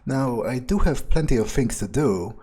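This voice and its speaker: A man's voice: medium pitch, lively intonation, normal speed, dark tone, husky. A man improvising an in-character monologue for a game playthrough, musing hesitantly and sardonically, with some swearing. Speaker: gameplay video player speaking in character.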